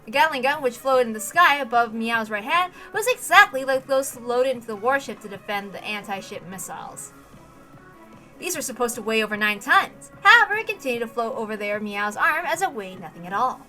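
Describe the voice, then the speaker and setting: Female narrator for visual novel action, high register, forceful edge, expressive and emphatic read. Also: brisk, soft background music, low-quality recording.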